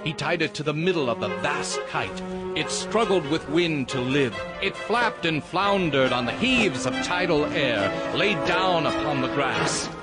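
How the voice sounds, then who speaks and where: Theatrical high-voiced male narrator over loud music. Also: expressive, unhurried. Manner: theatrical, emphatic, savoring lists of words. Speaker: audiobook narrator. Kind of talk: dramatic scripted narration. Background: prominent music.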